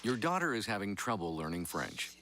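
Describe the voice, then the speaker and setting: A man's voice: lowish voice, sing-song, brisk. A man narrating a scripted public service spot like a quiz announcer, with music behind. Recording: loud music under narration. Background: loud music.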